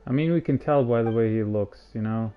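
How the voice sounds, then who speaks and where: A man's voice: medium pitch, brisk, clear, resonant tone. A man streaming a game and giving casual live commentary as he plays. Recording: slightly dull stream mic.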